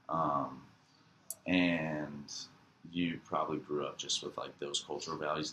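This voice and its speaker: A man's voice: low-pitched, fairly bright tone. A man interviewing, asking long, rambling questions full of "um" and "like". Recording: echoey room with faint hiss.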